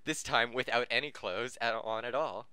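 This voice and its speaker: A man hosting a podcast reads a story aloud in a bright, forward voice with very little room sound. He is amateurish and giggly, and he trips over words and restarts phrases. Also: mid-range voice, moderate pace, clear, resonant tone, no room sound. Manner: stumbles over the text, giggly. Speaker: podcast host reading fan fiction aloud. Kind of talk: amateur story reading, often breaking up.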